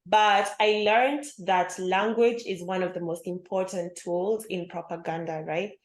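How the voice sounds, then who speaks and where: A young woman hosting a podcast, chatting casually with a friend, reflective and quick to laugh. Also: fairly low pitch, fairly even intonation. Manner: affirming, laughs easily, reflective. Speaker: podcast host. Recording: roomy echo.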